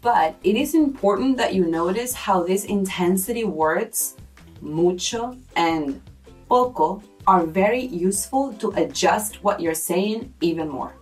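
A woman teaches in an unhurried, deliberate way, spelling each point out clearly. She sounds a little distant from the microphone. Soft music runs faintly underneath. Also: medium pitch, lively intonation. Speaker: language teacher. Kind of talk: scripted lesson narration.

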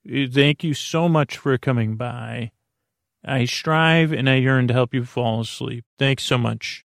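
Creaky-voiced man rambling soothingly. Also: medium pitch, dry recording. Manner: meandering, halting, with tangents and self-interruptions; soothing, lulling. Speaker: podcast host. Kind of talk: rambling bedtime monologue to help listeners sleep.

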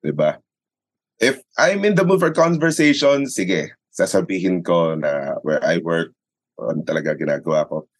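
A Filipino man with a low voice, recorded close and dry. He tells stories casually as an interview guest, slipping into quoted dialogue and tossing in tag questions as he goes. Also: lively intonation, normal speed, no echo. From Philippines. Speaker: sports announcer and radio commentator, interview guest. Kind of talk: casual conversational storytelling, mixing Tagalog and English.

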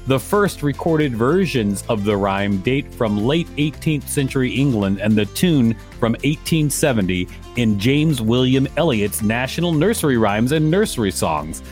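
A man's voice: mid-range voice, expressive, normal speed, darkish tone, soft background music. A man hosting a podcast, reading reference text aloud and adding casual commentary. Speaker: podcast host.

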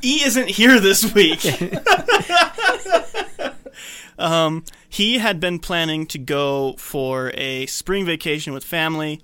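A man's voice: on the high side, big pitch swings, slow pace, bright, forceful tone, clean tone. A man hosting a podcast in casual group chat, with a sarcastic, teasing manner. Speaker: podcast host.

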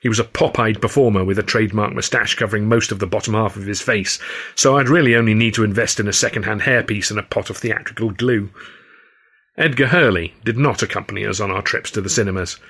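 Man, lowish voice, first-person audiobook narrator, scripted storytelling. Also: fast, fairly bright tone, husky. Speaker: author reading own novel as narrator.

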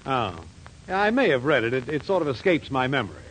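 A man's voice: mid-range voice, big pitch swings, quick pace, close to an everyday voice. A man in a 1940s radio sitcom, playing a genial, bumbling boss with a hesitant, folksy way of talking. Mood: flustered, puzzled. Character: genial, bumbling, flustered male boss hosting a party. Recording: noisy 1940s radio recording.

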